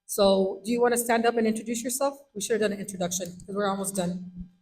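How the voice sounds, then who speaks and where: A woman, speaking loudly and emphatically as county clerk to a meeting of local officials. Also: mid-range voice, fairly even intonation, quick pace. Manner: loud, emphatic. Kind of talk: speech to a meeting.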